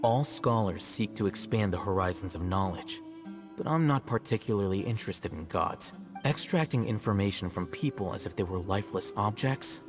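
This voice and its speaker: A man playing an aloof, self-assured young scholar in a video game, measured, articulate and slightly smug. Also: fairly low pitch, animated, long reverb tail, music in the background, lightly acted. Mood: firm, detached.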